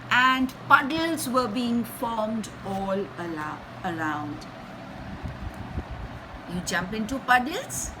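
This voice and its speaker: A woman reading a children's story aloud in an expressive, dramatic and teacherly way, pausing between short phrases and repeating words for effect. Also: medium pitch, noisy background.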